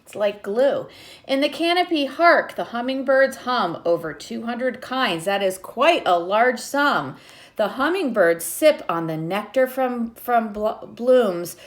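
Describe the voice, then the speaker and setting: A woman reading a children's picture book aloud like a teacher, with expressive delivery and short explanatory asides to young listeners. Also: fairly high pitch, slow pace.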